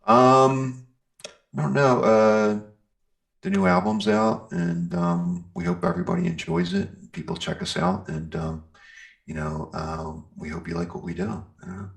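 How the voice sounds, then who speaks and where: A man with a gravelly voice chatting casually as an interview guest, speaking in a hesitant, halting way. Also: deep voice, very animated. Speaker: interview guest, metal band singer and guitarist.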